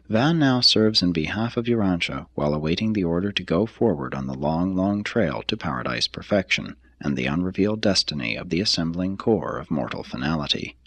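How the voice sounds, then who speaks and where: Very deep, solemn male audiobook narrator. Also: fairly bright tone, breathy edge, no room sound. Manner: measured, solemn, formal delivery. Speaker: reader. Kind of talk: scripted audiobook narration of a religious text.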